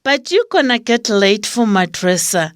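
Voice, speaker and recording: Slow, lilting, loving mother. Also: medium pitch, very animated, no echo, clean studio sound. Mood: tender. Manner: tender, unhurried. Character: loving, unwell mother, convert to Islam. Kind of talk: audio drama acting.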